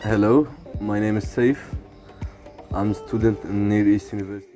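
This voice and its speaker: A man's voice: on the low side, steady tone, moderate pace, loud music behind the voice. A male student telling casually to camera how he found the project, with small hesitations. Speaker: student volunteer.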